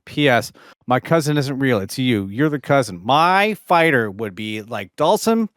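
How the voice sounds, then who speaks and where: Man, podcast guest, deadpan humor, dry close sound. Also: medium pitch, a little slow, no echo. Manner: deadpan delivery of crude jokes. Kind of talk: casual chat, reading listener questions.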